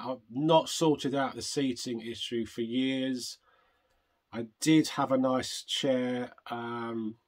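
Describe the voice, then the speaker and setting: An older man hosting a retro gaming vlog, chatting casually and rambling, hoarse from a chest infection. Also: mid-range voice. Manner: rambling, self-interrupting, chatty. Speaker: retro gaming channel host.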